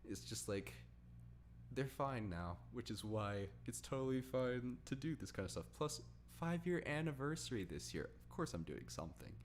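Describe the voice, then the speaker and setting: A man's voice: mid-range voice, sing-song, moderate pace, slight background noise. A man hosting a casual podcast chat, rambling with a fan's enthusiasm. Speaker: podcast host.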